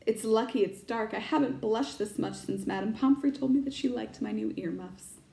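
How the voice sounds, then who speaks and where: A woman voicing a calm, kindly old male wizard from a storybook, measured and gently whimsical, in an echoey room. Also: a little high, gravelly. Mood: gently amused.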